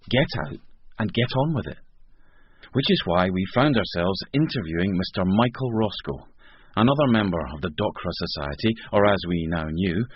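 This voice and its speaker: An actor playing a wry British police sergeant narrating a case in a radio drama, dry and ironic. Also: male voice, low-pitched, breathy edge, no room sound, lo-fi sound.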